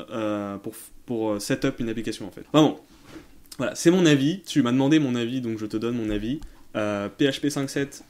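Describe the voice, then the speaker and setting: A man's voice: mid-range voice, darkish tone. A man hosting a tech Q&A in a casual, unscripted way, often hesitating as he thinks aloud and using informal slang. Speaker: tech tutorial host answering viewer questions. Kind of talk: casual off-the-cuff explanatory commentary.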